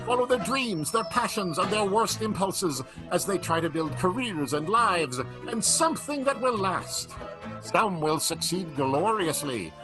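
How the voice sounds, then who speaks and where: Theatrical male comic announcer: playful, dramatic, very animated pitch, loud guitar music underneath. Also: measured pace, low-quality recording. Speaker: announcer and narrator. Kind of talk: theatrical comic scripted-style radio narration. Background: plucked guitar music.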